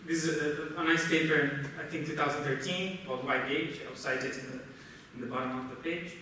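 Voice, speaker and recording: A man with a Brazilian accent, a native Portuguese speaker, gives a technical conference lecture in a halting, explanatory way. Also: fairly high pitch, fairly even intonation, sharp tone. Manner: halting, explanatory, often checks with "right?". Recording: roomy, noisy conference hall, distant mic.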